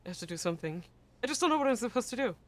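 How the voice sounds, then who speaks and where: A woman's voice: on the high side, very animated, racing. A woman voicing a desperate, hot-headed young male detective in a visual novel, reading his lines anxiously.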